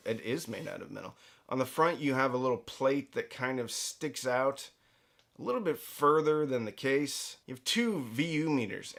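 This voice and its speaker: A man's voice: medium pitch, creaky. Casual man reviewing audio gear. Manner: conversational, playful asides, emphatic stresses. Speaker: reviewer and host of a budget audio gear channel. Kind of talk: casual product review talk to camera.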